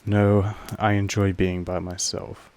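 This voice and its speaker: Possessive male vampire master played by a man for roleplay audio: low, evenly pitched, measured, patronizing, aloof. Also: level tone, darkish tone, smooth. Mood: reflective, guarded. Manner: measured, formal, condescending. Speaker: voice actor in a roleplay audio drama. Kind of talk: slow intimate monologue addressed to the listener.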